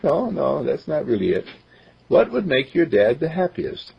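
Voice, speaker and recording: A patient, loving storybook mother, voiced by a man, soft and coaxing with her son. The voice is gravelly and husky. The recording is dull and lo-fi. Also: fairly high pitch, sing-song, moderate pace, voice pushed up, exaggerated voice.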